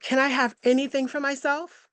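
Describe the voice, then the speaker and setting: An actress as a hurt young fiancée who has had enough, in a dark comedy script read. Her voice sits fairly high and sounds close and dry. She is accusing and sharp-tongued, with a weary edge. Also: little pitch movement, normal speed, no room sound. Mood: hurt, fed up.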